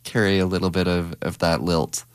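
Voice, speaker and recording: A young man co-hosting a radio show in a studio, talking in a relaxed, hesitant way with many fillers. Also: low voice, moderate pace, clear, resonant tone, no echo. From Canada. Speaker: radio co-host. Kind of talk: casual radio chat. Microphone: studio microphone.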